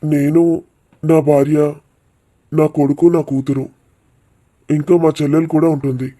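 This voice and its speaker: A man's voice: fairly high pitch, little pitch movement, measured pace, dark tone. A man voicing a genial, firm middle-aged father in a cartoon village drama. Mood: direct, friendly.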